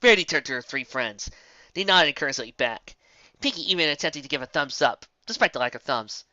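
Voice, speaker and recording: Bright, expressive woman reading a story. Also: sits low, brisk, no echo. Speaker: storyteller, reader. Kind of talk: expressive scripted story narration. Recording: slightly dull, dry home recording.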